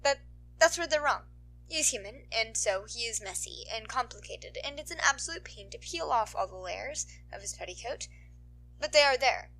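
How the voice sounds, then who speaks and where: Woman, amateur audiobook-style reader, higher-pitched and very bright, expressive storytelling. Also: moderate pace, low-quality recording. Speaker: amateur fan fiction reader. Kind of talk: expressive story narration with casual swearing.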